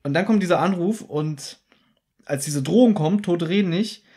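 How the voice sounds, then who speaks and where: Lively, detailed male podcast storyteller. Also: high voice, normal speed. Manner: detailed, animated storyteller who acts out quotes. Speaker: podcast co-host. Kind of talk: casual chat, retelling an audio drama.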